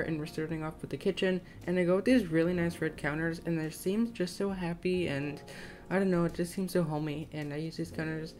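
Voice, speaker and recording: A young woman with a slightly scratchy voice giving casual, tentative, rambling voiceover commentary on a game build. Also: deep voice. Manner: rambling, self-conscious, tentative. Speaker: gaming video creator. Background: soft plucked guitar music.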